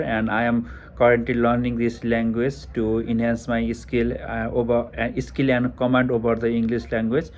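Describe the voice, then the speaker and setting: Hesitant Nepali-accented young man, flat voice. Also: medium pitch, a little hiss or hum. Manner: hesitant, many fillers and restarts. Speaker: test taker. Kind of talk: spoken exam practice answers. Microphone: headphone mic over Bluetooth to phone.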